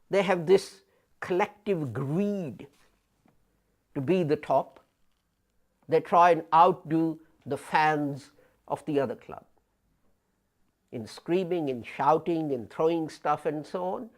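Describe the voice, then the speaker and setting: Slow, deliberate middle-aged man preaching. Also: a little high. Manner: deliberate, slow preaching with rising emphasis and pauses. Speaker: imam. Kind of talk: sermon. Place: small studio before a printed backdrop. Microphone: fixed camera, head and shoulders framing.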